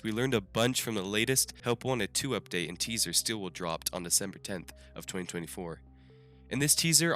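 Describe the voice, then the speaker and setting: A man's voice: fairly low pitch, pushed and bright. Nasal, stuffed-up young man reading notes. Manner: reading from a script with small restarts. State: stuffy nose after the flu. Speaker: gaming YouTuber. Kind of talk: scripted game theory commentary.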